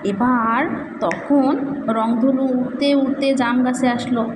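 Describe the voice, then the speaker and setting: A high-pitched woman tells a story to a small child, pausing to coax answers with simple questions. She repeats key phrases as she goes. The room rings with echo, and there is noise behind her. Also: steady tone. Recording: echoey reverberant room with background noise.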